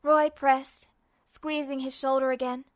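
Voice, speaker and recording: Woman, high voice, expressive storyteller, scripted fiction narration, dramatic delivery. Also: measured pace. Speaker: amateur audiobook narrator.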